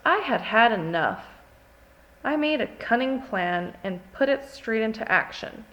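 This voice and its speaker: Woman as an indignant, animated little forest creature. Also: a little high, unhurried, muffled recording. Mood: triumphant. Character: indignant, haughty small furry wild forest creature.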